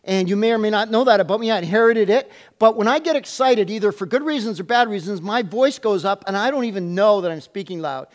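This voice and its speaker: A man pastor preaching a sermon in a loud, emphatic voice, repeating his questions and dropping playful asides. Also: sits high, fast talker, hardly any pauses.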